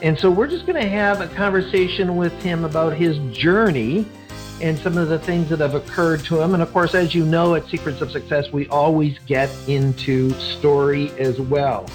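A man's voice: a little high, normal speed, soft background music. A man hosting a podcast interview, rambling with frequent fillers and restarts. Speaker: podcast host.

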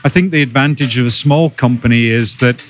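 A middle-aged man with a Scottish accent, a biotech executive answering interview questions in a measured, detailed, conversational way. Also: medium pitch, a little hiss or hum. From Scotland. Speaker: podcast guest, biotech executive.

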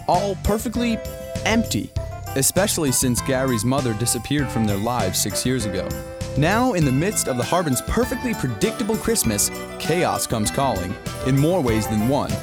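A man narrating a scripted audio drama as its storyteller. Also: medium pitch. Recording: music clearly behind the voice.

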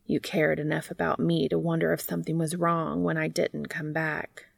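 A woman voicing an earnest, devoted male bee in a storybook, speaking softly, tenderly and deliberately. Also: sits low, little pitch movement, vocal fry, dry recording, clean studio sound, exaggerated voice. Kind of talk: storybook character reading.

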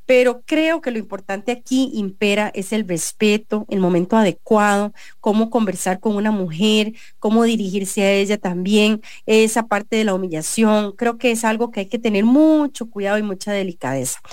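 A woman's voice: mid-range voice, normal speed, few pauses, a little mellow, dry recording, clean studio sound. A woman with a Costa Rican accent hosting a business radio show, giving an earnest editorial and interviewing a guest. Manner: earnest, many restarts and fillers. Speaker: radio show host and journalist.

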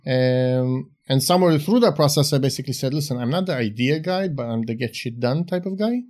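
A man's voice: medium pitch, brisk, a little mellow. A man in his forties who grew up in Israel, a startup investor chatting frankly in an interview, joking and filling gaps with "uh". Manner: frank and joking, with frequent "uh" fillers. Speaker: guest, venture investor. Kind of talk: casual interview chat.

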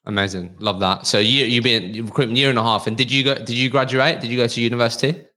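A man's voice: mid-range voice, steady tone, fast, bright, forceful tone. A man hosting an interview podcast, talking conversationally with restarts and fillers. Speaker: podcast host.

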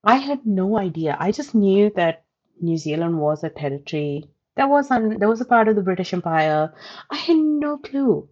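A woman in her thirties from India with a softened Indian accent, reflecting thoughtfully in an interview, hedging often. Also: medium pitch, sing-song, moderate pace, dull audio. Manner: thoughtful, hedging, with light laughs. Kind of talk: reflective personal storytelling.

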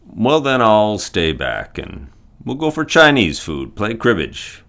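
A man playing a gentle, doting older father in a period audio drama, speaking in a tender, measured, paternal manner. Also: fairly low pitch, huge pitch swings, exaggerated voice.

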